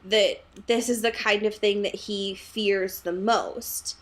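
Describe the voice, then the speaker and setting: A woman's voice: medium pitch, steady tone, slow pace. A woman co-hosting a podcast, chatting casually about a book in a giggly way with playful, sarcastic asides. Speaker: podcast co-host. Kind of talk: casual book discussion chat.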